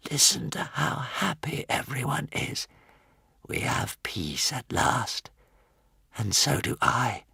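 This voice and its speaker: A man voicing a huge old dying dragon in a storybook, solemn and remorseful, speaking slowly in a weak, trembling whisper. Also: medium pitch, big pitch swings, creaky, sharp tone, slightly rough, dry recording, clean studio sound, pitched above the performer's own voice, full character voice. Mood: weary, remorseful. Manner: slow, grave, halting delivery.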